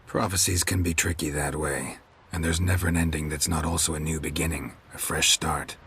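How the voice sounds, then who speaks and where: An actor playing a terse, deadpan wandering swordsman in a fantasy video game. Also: a bass voice, brisk, gravelly, slight background noise, full character voice. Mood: dry, calm. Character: gruff, laconic male wandering swordsman, dryly menacing. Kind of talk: video game dialogue acting.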